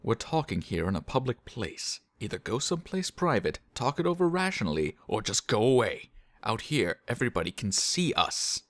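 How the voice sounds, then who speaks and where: A man playing a sensible, cautious young friend in an audio drama, reasoning in an expressive way. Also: mid-range voice, moderate pace, dry recording, clean studio sound. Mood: urging calm.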